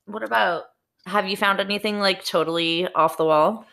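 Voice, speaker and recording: A woman's voice: mid-range voice, moderate pace, vocal fry, pushed and bright. An American woman chatting casually as a co-host on a podcast. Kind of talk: casual chat.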